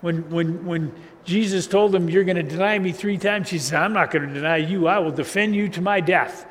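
A man preaching a casual sermon as a pastor, with a loud voice and a loose, chatty style full of chuckles and restarts. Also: high voice, normal speed. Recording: reverberant hall, faint ambient noise.

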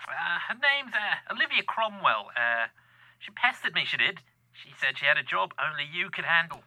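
An actor with a Cockney accent playing a cheeky young apprentice con-artist in a radio drama. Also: male voice, on the high side, big pitch swings, normal speed, bright, forceful tone, no echo, heavily acted, poor audio. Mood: cheeky, defensive. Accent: Cockney English. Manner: cheeky, slangy.

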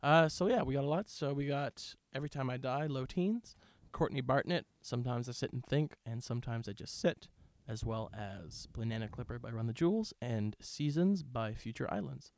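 A man's voice: mid-range voice, expressive, moderate pace, few pauses, no room sound. Jokey male podcast host, dry close sound. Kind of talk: casual banter between co-hosts.

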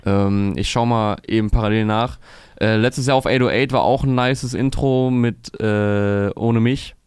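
A man with a lowish, smooth and resonant voice talks through rap releases, pausing on "äh" as he builds his point. The sound is close and dry, with almost no room. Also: fairly even intonation, darkish tone, no room sound. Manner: fluent, analytical, many "äh" fillers. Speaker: podcast host reviewing rap releases. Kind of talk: casual music review chat.

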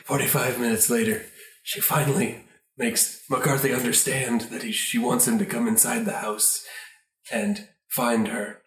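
A man narrating an improvised radio play in a halting, made-up-on-the-spot way. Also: mid-range voice, fairly bright tone. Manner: halting, making it up as he goes. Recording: roomy echo, distant from mic.

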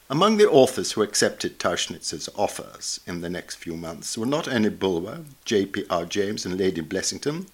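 Guy reading a bookish article out loud, kinda husky, very varied intonation, formal. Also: fairly low pitch. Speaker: reader of a journal article. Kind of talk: scripted narration, reading an essay aloud.

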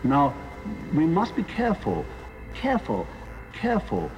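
A man lecturing reflectively and deliberately on religion, stressing words by repetition. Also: a little high, wildly swooping pitch. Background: music.

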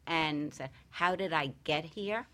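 A woman's voice: low voice, slow pace, a bit bright. A woman speaking as a guest dream interpreter in a casual panel chat, answering with firm emphasis.

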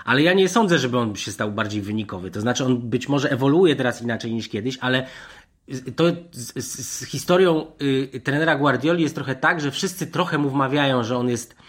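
Digressive male football pundit, halting restarts. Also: medium pitch, expressive, slightly rough. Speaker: football pundit on a panel show. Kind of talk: unscripted sports discussion.

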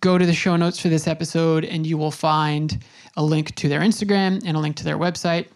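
A woman's voice: low voice, fairly even intonation, a little fast, a little mellow. A woman hosting a podcast, chatty and upbeat, with plenty of fillers. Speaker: podcast host. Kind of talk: casual chat and intro monologue.

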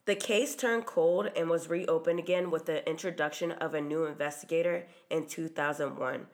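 Low-voiced woman with a stuffy cold. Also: fairly even intonation, moderate pace. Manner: casual, chatty reading with occasional ums. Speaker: podcast host. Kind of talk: true crime podcast narration.

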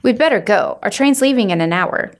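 A woman's voice: mid-range voice, expressive, a little fast, close to an everyday voice. A woman voicing a bouncy, reassuring young stepmother in a children's storybook. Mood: upbeat.

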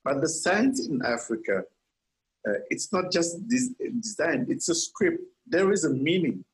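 A man's voice: on the high side, sing-song, unhurried, low-quality recording. A man from Senegal, an architect, answering interview questions earnestly, with frequent restarts and repeated words.

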